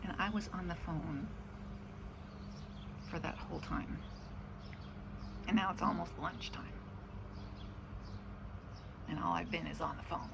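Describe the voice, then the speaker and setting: A woman's voice: lowish voice, measured pace, creaky, pauses often, background noise. A middle-aged woman in casual, rambling chat about her day, drifting off into asides. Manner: meandering, trailing off into asides. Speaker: vlogger.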